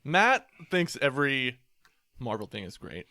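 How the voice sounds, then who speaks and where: A man's voice: medium pitch, very animated, a little slow, sharp tone, no room sound. A man co-hosting a podcast, chatting casually about movies. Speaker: podcast co-host.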